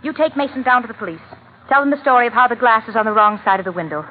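A woman's voice: a little high, racing, narrow, tinny audio, close to an everyday voice. A thin, slightly noisy vintage radio recording carries an actress as a seductive femme fatale. She is a poised, calculating woman who speaks coyly and coolly, a schemer in a crime melodrama. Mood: commanding, brisk. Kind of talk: 1940s-50s American radio drama acting.

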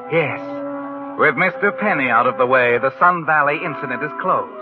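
An actor playing a knowing, taunting narrator in a 1940s radio drama, speaking in an insinuating, dramatic way. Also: male voice, on the high side. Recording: tinny old radio with loud music.

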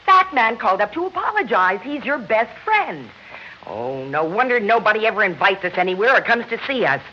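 A woman's voice: medium pitch, big pitch swings, fairly quick, sharp tone. A woman acting an exasperated working-class American wife in an old radio drama, with an emotional delivery. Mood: scolding. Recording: dull, noisy old radio recording.

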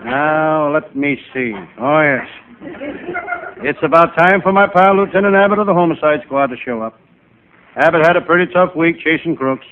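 A male comedian playing a wisecracking, hapless private eye, narrating in deadpan first person in old-time radio comedy style. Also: a little high, moderate pace, lightly acted. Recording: noisy, tinny vintage radio recording.

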